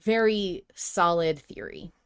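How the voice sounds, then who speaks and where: A woman's voice: on the low side, slow, smooth. Emphatic woman podcast co-host. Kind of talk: casual fan podcast chat, reading listener emails.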